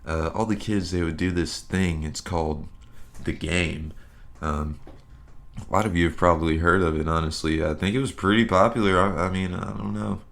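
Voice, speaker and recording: A man's voice: deep voice, fairly even intonation, moderate pace, gravelly, fairly bright tone. A young man hosting a podcast, talking in a loose, rambling way and swearing casually. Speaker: podcast host. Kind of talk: casual solo podcast chat.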